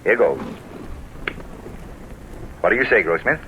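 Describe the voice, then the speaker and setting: An actor playing a reasonable, reluctant peacemaking gentleman with a measured, protesting manner in an old-time radio drama. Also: fairly low pitch, very animated. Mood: reluctant, brisk. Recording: dull vintage radio recording, faint noise.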